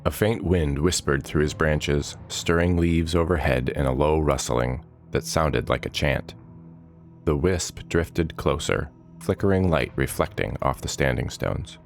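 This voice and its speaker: A man's voice: a bass voice, measured pace, vocal fry, soft background music. A man reading a scripted fantasy story as its narrator.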